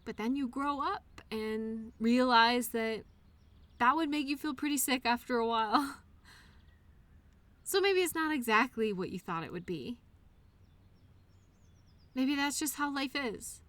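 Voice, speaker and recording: A loyal imaginary friend from childhood, acted by a woman in an intimate audio roleplay. The voice sits fairly high and is recorded close and dry. The delivery is earnest and tender, full of gentle reassurance. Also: unhurried, pauses often, dry recording. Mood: gentle, reassuring. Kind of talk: one-sided audio roleplay, intimate scripted conversation.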